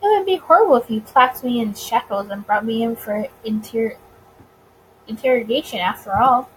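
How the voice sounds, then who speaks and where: A woman voicing a suave, confident gentleman bootlegger in a visual novel, with courtly, teasing phrasing. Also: on the high side, lively intonation, moderate pace, a little hiss or hum, lightly acted, poor audio. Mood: playful.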